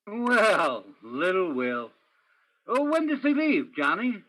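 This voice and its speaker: A man's voice: high-pitched, wildly swooping pitch, takes it slowly, a bit bright, exaggerated voice, poor audio. A man playing a folksy, genial, proud old father in a radio play.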